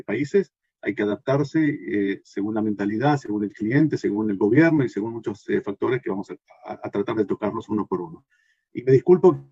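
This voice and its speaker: A man's voice: mid-range voice, dark tone, breathy edge, dry recording, lo-fi sound. A man from Argentina, presenting a workshop with many fillers and restarts. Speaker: consultant giving a workshop. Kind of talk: recorded presentation talk.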